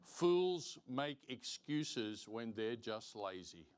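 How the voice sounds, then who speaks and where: Man preaching, emphatic and deliberate. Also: medium pitch, very animated, darkish tone, clear, resonant tone. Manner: emphatic, deliberate, repeats phrases for stress. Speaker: preacher teaching Sunday school. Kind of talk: sermon, Bible teaching with verse reading. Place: church, live-streamed service.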